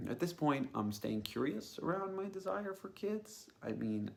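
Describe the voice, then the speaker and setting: Creaky-voiced man musing aloud. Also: mid-range voice. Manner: musing, tentative, thinking aloud. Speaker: podcast host. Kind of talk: reflective personal monologue to listeners.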